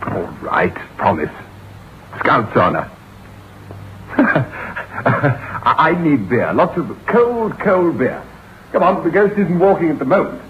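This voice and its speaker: Breezy doubting friend, lively intonation, casual and jaunty, old radio drama, slightly noisy recording. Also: male voice, a little high, highs cut off. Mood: amused. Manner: jaunty, casual, hesitant pauses. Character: breezy, sceptical male friend of the writer.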